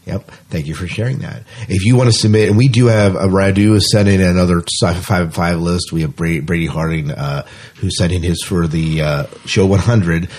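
Husky low voiced guy hosting a podcast, casual and conversational. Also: steady tone, moderate pace, a little mellow. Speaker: podcast co-host.

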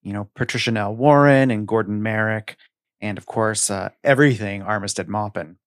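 A middle-aged man reminiscing casually about books in a measured, articulate way, as a podcast co-host. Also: lowish voice, dry recording, studio quality.

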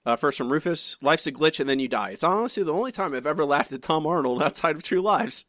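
A man's voice: on the high side, quick pace, no echo. Dry, chatty podcast host man. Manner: chatty and animated, many restarts and asides. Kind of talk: casual podcast chat, reading listener comments.

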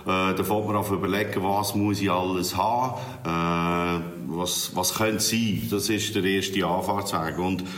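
A middle-aged man with a Swiss German accent speaks in a very deep voice. He answers interview questions off the cuff, like a fire commander recounting his work, in a room with strong echo. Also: fairly even intonation, measured pace, hardly any pauses, a bit bright. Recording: echoey room.

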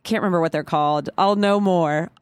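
A woman's voice: fairly low pitch, a little fast, clear, resonant tone, dry recording, clean studio sound. A young woman with a head cold, co-hosting a casual podcast, chatty and full of "like" fillers and laughs. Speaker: podcast co-host. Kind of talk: casual chat.

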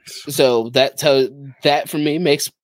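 A man's voice: on the high side, fairly even intonation, takes it slowly, no echo. Man chatting casually, close dry sound. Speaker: podcast host. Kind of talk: casual chat about video games.

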